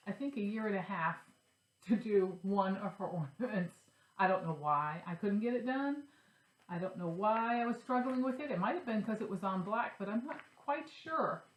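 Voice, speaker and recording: A woman with a stuffy, nasal voice from a cold, chatting casually to her viewers about her craft projects. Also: medium pitch. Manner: chatty, upbeat, shows her stitching. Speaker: cross-stitch vlogger. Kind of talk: casual chat to camera. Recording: echoey room.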